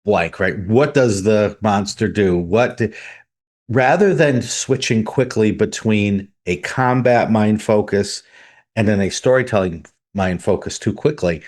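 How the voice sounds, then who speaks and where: Male podcast co-host with a lowish voice who explains things and reads out news. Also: a little slow, darkish tone. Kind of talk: casual podcast discussion.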